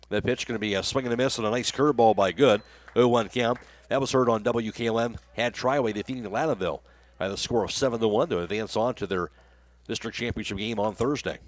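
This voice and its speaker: An American man doing live radio play-by-play commentary of a baseball game. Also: medium pitch.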